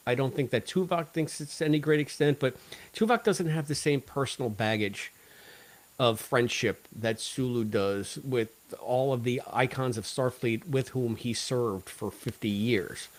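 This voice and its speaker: A man's voice: medium pitch, measured pace. A man hosting a podcast in a casual, talkative style, discussing and reviewing drama while freely giving his opinions. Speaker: podcast host.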